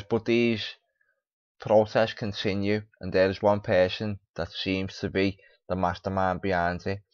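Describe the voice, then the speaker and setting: A man with a very low, flat voice reads out and comments on a piece for his video, tripping over words now and then. The sound is dry, dull and lo-fi. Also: no room sound. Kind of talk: reading an article aloud with off-the-cuff commentary.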